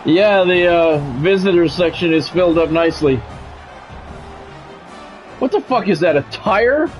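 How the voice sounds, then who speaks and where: A man's voice: high-pitched, lively intonation, measured pace, faint music behind. A man doing football play-by-play for a video game stream in a conversational, blunt way. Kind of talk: football play-by-play commentary with casual reactions.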